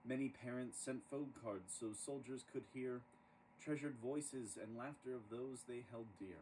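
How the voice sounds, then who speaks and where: A man reading a rhyming children's story aloud in an expressive, dramatic storytelling manner. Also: medium pitch, measured pace. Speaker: storybook reader. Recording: distant microphone with clear background noise.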